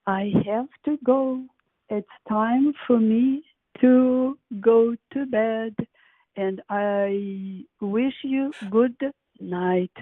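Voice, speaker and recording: A woman in a phone conversation with deliberate, formal phrasing, heard over an echoey, narrow phone line. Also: fairly high pitch. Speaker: prank call recipient.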